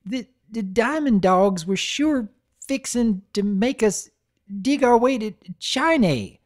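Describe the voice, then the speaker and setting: A woman's voice: fairly high pitch, lively intonation, slow, darkish tone, pitched above the performer's own voice. A tearful farm filly with a rural Southern drawl, as a woman puts her on in a storybook reading. Her voice climbs well above the narrator's, wobbly and pleading. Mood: sheepish. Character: weepy country farm filly.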